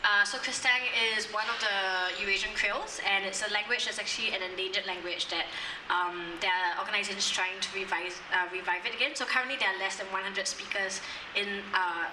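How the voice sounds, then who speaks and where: A woman's voice: medium pitch, fairly even intonation, brisk, few pauses. Young woman poet, echoey and far. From Singapore. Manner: chatty explaining, filled with ums between recited poems. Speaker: spoken word poet. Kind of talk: poetry performance and chat. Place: outdoor courtyard. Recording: echoey, distant, noisy outdoor recording.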